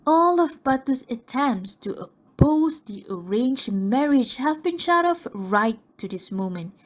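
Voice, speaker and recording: A woman's voice: sits high, expressive, measured pace. A woman narrating a radio drama in a scripted storytelling style.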